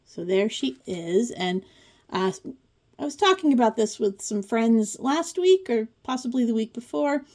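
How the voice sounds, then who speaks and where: A woman's voice: fairly high pitch, animated, a little slow, a little mellow. A woman in her forties hosting a craft video, talking casually through a flip-through with chatty, wry asides. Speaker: craft channel host. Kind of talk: casual commentary on a pattern book flip-through.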